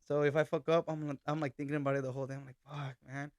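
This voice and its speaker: A young man, a band's singer, chatting casually on a podcast, telling relaxed stories and mixing Spanish phrases and swearing into his talk. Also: on the high side, fast, dry recording, studio quality. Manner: laid-back storytelling, mixes Spanish phrases and swearing. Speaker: band vocalist and accordion player.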